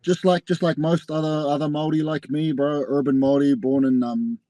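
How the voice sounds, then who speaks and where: A man's voice: fairly high pitch, little pitch movement, fairly quick, dark tone, dry recording. A young man with a New Zealand accent, a pro wrestler chatting casually as a podcast guest and calling everyone "bro". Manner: laid-back, says "bro" often. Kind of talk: casual interview chat.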